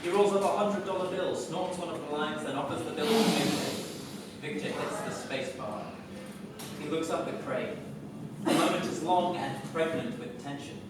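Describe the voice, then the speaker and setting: Super echoey far-away mic, guy with a kinda high voice reading out stage directions. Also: moderate pace, heavy background noise. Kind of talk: scripted narration at a staged reading. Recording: very echoey room, distant microphone.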